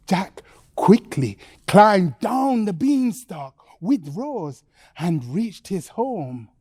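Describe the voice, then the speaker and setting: A woman's voice: medium pitch, darkish tone, husky, no echo. Casting: female bedtime storyteller, theatrical and suspenseful, widely swooping intonation, close dry sound. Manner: dramatic, drawn-out phrasing with suspenseful pauses.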